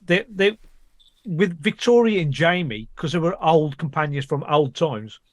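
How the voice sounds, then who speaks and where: A man's voice: on the high side, a little slow, dry recording. A man on a podcast explaining a point at length, often repeating words as he goes. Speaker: podcast co-host. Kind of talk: casual chat.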